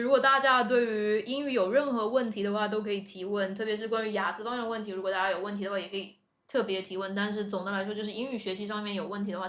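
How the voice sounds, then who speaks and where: A woman's voice: mid-range voice, fairly bright tone. A woman co-hosting a live stream, interpreting for the teacher and asking questions in a chatty way, full of fillers. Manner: chatty, filler-heavy, with many "like" and "um". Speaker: co-host and interpreter.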